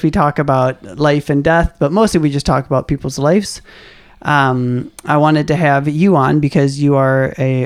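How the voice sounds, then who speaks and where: A man who hosts a podcast and interviews a friend in a casual way, laughing often and halting with many fillers. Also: a little high, darkish tone, clean tone, no echo, studio quality. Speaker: podcast host.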